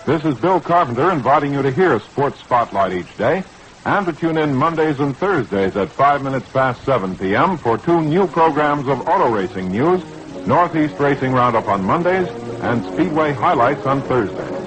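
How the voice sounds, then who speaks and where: Male vintage AM radio promo announcer, medium pitch, polished scripted read, clear music bed behind. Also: expressive, normal speed, poor audio. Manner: formal, polished announcer delivery. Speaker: radio sports host and station announcer. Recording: vintage 1960s AM radio recording.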